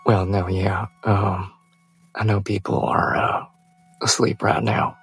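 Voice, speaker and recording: Man voicing a very husky, halting, weary adventurer. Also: fairly low pitch, level tone, a bit bright, soft background music, exaggerated voice. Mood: halting, decisive. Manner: halting, hesitant, slow. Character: weary, weakened male adventurer, polite but urgent.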